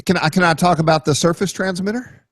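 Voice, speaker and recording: Talkative host from Texas, slightly high voice, close dry sound, teasing chat. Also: male voice, quick pace, no echo. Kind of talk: casual chat.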